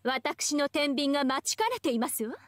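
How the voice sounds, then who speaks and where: Haughty young goddess, very high voice, dry close sound, refined ladylike diction, anime game. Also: lively intonation, normal speed, dry recording. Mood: confident, gracious. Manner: pompous, ornate ladylike speech. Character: haughty, elegant young scales goddess, proud and competitive.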